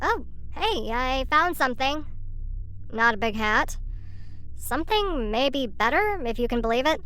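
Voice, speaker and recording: A vain young time-traveling influencer in an audio drama, sarcastic and quick to whine. The voice sits high and rings bright and sharp. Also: female voice, lively intonation, clean tone, rough recording. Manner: flippant, sarcastic, whiny.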